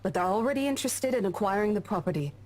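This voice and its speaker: An actress playing a confident female real estate colleague in a visual novel game, brisk and businesslike, a bit husky. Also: medium pitch, lively intonation, darkish tone, lightly acted. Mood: amused, confident.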